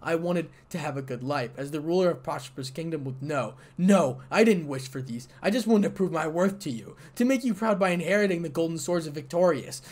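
A man's voice: medium pitch, animated, moderate pace, husky. A man voicing a bitter young woman knight, haunted and furious, in a fan-story reading. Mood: anguished shouting.

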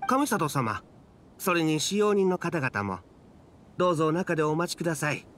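A man's voice: a little high, sing-song, normal speed, fairly bright tone, a little hiss or hum. A man voicing a polite clan attendant in an anime game, formal and eager to oblige. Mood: obliging.

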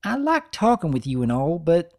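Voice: medium pitch, very animated, normal speed, a little mellow, pitched above the performer's own voice. A narrator voicing an eager, chatty young filly with folksy manners in a cartoon fan-fiction reading. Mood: bright, cheerful. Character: perky young filly, country pony little sister.

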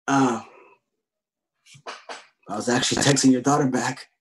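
A young actor playing an easygoing, streetwise taco shop worker who loves books, reading casually and haltingly in a comedy table read. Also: male voice, medium pitch, fairly even intonation, close to an everyday voice. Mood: teasing, easygoing. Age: young adult. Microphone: laptop video call.

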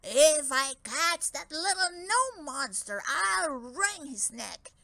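An indignant, growling giant out of a children's storybook, voiced by a woman. His angry voice is raised and animated. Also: sits high, takes it slowly, vocal fry, pitched above the performer's own voice, full character voice. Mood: furious threat. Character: gruff, angry male giant.

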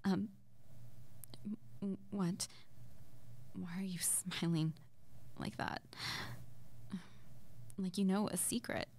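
Woman acting a slow, breathy, flustered young witch. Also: medium pitch, steady tone, a little mellow, slight background noise. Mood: nervous, awed. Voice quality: breathy, often dropping to near-whisper. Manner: hesitant, stammering, giggly. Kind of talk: intimate girlfriend roleplay ASMR audio.

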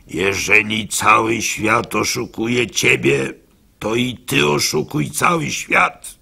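A man's voice: medium pitch, slow pace, creaky, breathy edge, full character voice. A man voicing a cynical, tempting devil in a radio story, blunt and scornful. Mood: sly. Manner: forceful, scornful. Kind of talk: dramatised storytelling.

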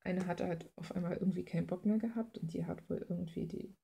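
A woman's voice: lowish voice, fairly even intonation, fast, creaky, warm tone, breathy edge. A woman chatting casually and informally as she draws, rambling in slangy language and thinking aloud. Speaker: artist hosting a drawing video. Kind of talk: casual rambling chat while drawing. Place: indoors at a drawing desk.

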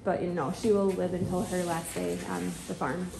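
A chatty woman with a gravelly edge to her voice talks about her horse in a friendly way. The room is echoey, and noise sits under her words. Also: fairly low pitch, little pitch movement, normal speed. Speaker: horse owner. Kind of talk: casual conversation.

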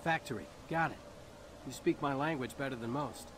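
An actor playing a casual, wisecracking soldier in a borrowed alien body. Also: male voice, mid-range voice, moderate pace, noisy background, lightly acted. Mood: wry.

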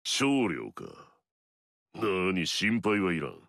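A man's voice: sits low, wildly swooping pitch, slow pace, creaky, fairly bright tone, no room sound, clean studio sound, full character voice. An actor playing an arrogant, fierce male warlord in a video game, swaggering and barking battle cries.

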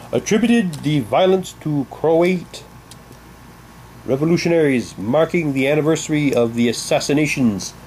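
A man's voice: a little high, dark tone, a little hiss or hum, low-quality recording. A man casually commenting and reading documents aloud as he presents an unboxing. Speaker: unboxing vlogger.

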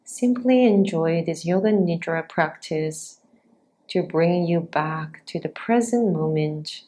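A woman with a slightly low voice leads a guided yoga nidra meditation. She speaks extremely slowly, in short, measured phrases. Long pauses separate each instruction, keeping the delivery unhurried throughout. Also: dark tone. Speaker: yoga nidra teacher guiding a practice.

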